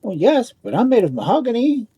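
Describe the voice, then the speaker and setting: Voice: medium pitch, very animated, brisk, warm tone, slightly rough, muffled recording, pitched above the performer's own voice. A storyteller voicing a boastful, lovesick toy spinning top in a fairy tale, earnest and self-important. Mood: eager, boastful.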